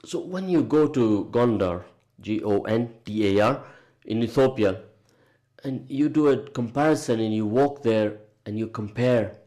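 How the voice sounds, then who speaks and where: A man's voice: fairly low pitch, dark tone. A man hosting a radio interview, chatty and emphatic, often exclaiming in disbelief. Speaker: radio presenter.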